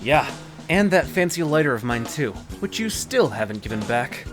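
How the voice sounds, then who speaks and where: An actor playing a slick, talkative, cocky young yakuza in a video game fan dub, over guitar music. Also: male voice, on the high side, sing-song, moderate pace. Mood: cocky, lecturing. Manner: cocky, chatty, casual.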